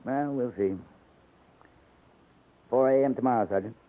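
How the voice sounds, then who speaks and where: An actor playing a stern, fair-minded American cavalry captain in a 1950s radio drama, speaking in a clipped, commanding way. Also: male voice, mid-range voice, measured pace, music in the background, close to an everyday voice. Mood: measured, wry. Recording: muffled, noisy 1950s radio recording.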